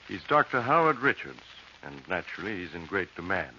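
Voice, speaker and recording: An actor playing a grave, tactful doctor in a 1940s radio drama, measured and professional, on a dull, noisy recording. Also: male voice, deep voice, very animated, sharp tone, exaggerated voice.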